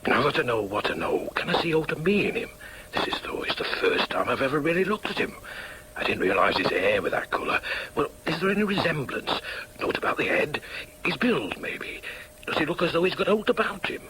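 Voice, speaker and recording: A man's voice: a little high, sing-song, pushed and bright, a little hiss or hum, rough recording. An actor playing a hesitant, plain-spoken middle-aged father with a Northern English accent in a radio drama. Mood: wondering, unsettled. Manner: halting, awkward, hesitant.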